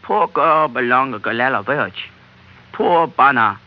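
An actor playing a cheerful, sly islander trader who feigns simplicity, speaking coyly in gleeful pidgin in an old-time radio drama. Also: male voice, medium pitch, lively intonation, unhurried, close to an everyday voice. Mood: coy, coaxing. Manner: pidgin speech, gleeful, coy. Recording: dull, lo-fi old radio recording.

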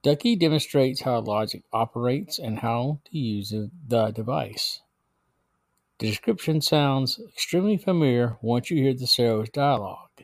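A man's voice: medium pitch, animated, normal speed, dry recording. A man hosting a podcast, narrating a scripted review.

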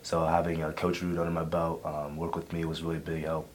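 A man's voice: extremely low pitch, completely flat delivery, fast talker. A young man and freshman football player answering earnestly, often saying "you know.". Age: young adult. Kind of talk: casual interview answers.

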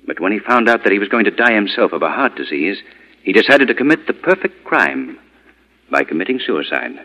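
An actor playing a commanding, deliberate male vigilante crime fighter in 1940s radio drama style. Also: on the low side, very animated, sharp tone, full character voice, rough recording. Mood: grave, explanatory. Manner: authoritative, urgent, deliberate.

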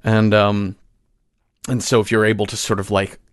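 A man in a casual, reflective interview as a guest, talking in a halting way as he thinks aloud. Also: fairly low pitch, level tone, no room sound, clean studio sound. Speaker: podcast guest, fiction writer.

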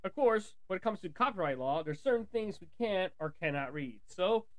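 A man's voice: high-pitched, animated, moderate pace. A man hosting a casual pop culture podcast, talking informally to his listeners. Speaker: podcast host.

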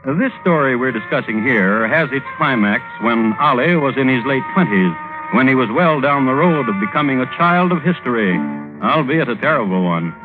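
A male host narrates an old radio program from a script. His wry storytelling moves freely up and down in pitch. The sound is narrow and boxy, with music clearly behind him. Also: animated, normal speed. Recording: band-limited old radio recording.

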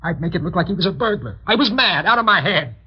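A man's voice: sits high, animated, fast, breathy edge, exaggerated voice. A man playing a guilt-ridden, scheming husband who pleads urgently and frantically in an old-time radio melodrama. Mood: urgent, pleading. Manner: urgent, pleading, stammering under pressure. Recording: dull lo-fi old radio recording.

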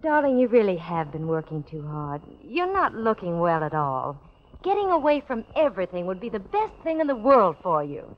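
A woman's voice: medium pitch, very animated, moderate pace, natural voice. A woman plays a tender sweetheart in a vintage radio drama, genteel and coaxing. Her melody rises and dips freely. The old recording sounds dull and lo-fi. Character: devoted, coaxing young woman in love.

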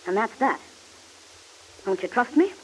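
A woman's voice: fairly high pitch, sing-song, measured pace, husky, exaggerated voice. A woman playing a straight-talking, big-hearted confidante in an old-time radio serial, blunt and folksy. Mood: firm, offended. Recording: hissy, noisy old radio recording.

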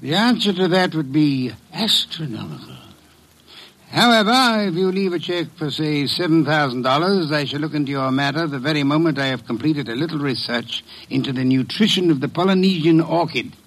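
An actor playing a pompous, shrewd detective with formal, ornate speech in an old-time radio drama. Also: male voice, a little high, lively intonation, moderate pace, a bit bright, low-quality recording. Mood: dryly courteous.

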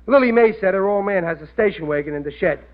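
A man's voice: sits high, expressive, quick pace. A man playing a stubborn, boastful working-class husband and father in an old radio sitcom, indignant and ungrammatical. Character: blustering, stubborn working-class family man, dim schemer. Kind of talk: 1940s radio sitcom acting. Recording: tinny, narrow old radio recording.

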